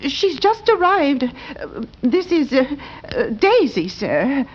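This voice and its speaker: A woman's voice: sits high, sing-song, takes it slowly, heavily acted. An actress playing an anxious, deferential landlady in a 1940s radio drama, nervous, stammering and apologetic. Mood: flustered, placating. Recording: muffled, noisy old 1940s radio recording with clicks.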